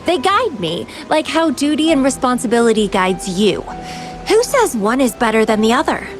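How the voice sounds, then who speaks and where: A woman's voice: a little high, big pitch swings, moderate pace, over music. An actress playing a playful, carefree, enigmatic young woman in a video game, with a teasing, languid, theatrical delivery. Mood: self-assured.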